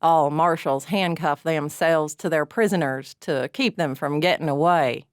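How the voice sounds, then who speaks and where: A glum, gruff prisoner of a man, blunt and weary, growling out his requests, as a female storyteller puts him on. The voice sits low and a little pushed, recorded close and dry. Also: a little slow, no echo. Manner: gruff, growling, plain-spoken.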